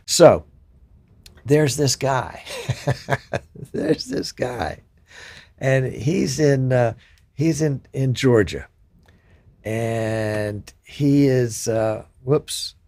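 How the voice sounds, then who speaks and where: A middle-aged man hosting a casual streamed talk show, chatty and quick to joke and laugh with his guest. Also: mid-range voice, creaky, a little mellow, no echo. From Gulf Coast near Mobile, US. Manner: chatty, jokey, laughs easily. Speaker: show host. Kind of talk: casual interview chat.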